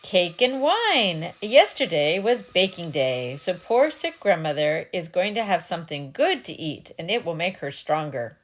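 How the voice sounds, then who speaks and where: A woman's voice: fairly low pitch, sing-song, unhurried, few pauses. A woman voicing a sweet, trusting little girl in a storybook, innocent and polite.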